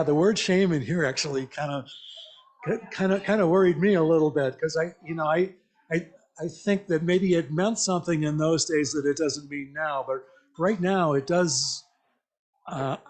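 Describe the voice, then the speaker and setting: A man giving an informal, interactive church message in a conversational, reflective, halting way, often checking in with questions. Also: on the high side, fairly even intonation. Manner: invites listeners with questions. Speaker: lay church speaker.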